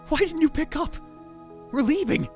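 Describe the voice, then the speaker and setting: A man's voice: very high voice, animated, normal speed, a little mellow, soft background music. A man playing a dramatic, paranoid young self-styled mad scientist in a visual novel fan dub, theatrical, brooding and self-important. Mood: smug, urgent. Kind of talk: visual novel fan dub, first-person narration and dialogue.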